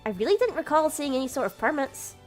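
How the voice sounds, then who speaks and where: A woman's voice: sits high, big pitch swings, quick pace, faint music behind. A woman hosting a gaming video, reading a visual novel aloud and adding casual commentary.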